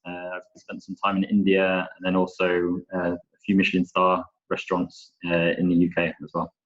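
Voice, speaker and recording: Low-voiced Scottish-born chef, echoey call. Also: male voice, completely flat delivery, normal speed, fairly bright tone. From Scotland. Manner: easygoing, with hesitant restarts. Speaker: chef instructor. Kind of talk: casual webinar conversation. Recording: echoey, dull video call audio.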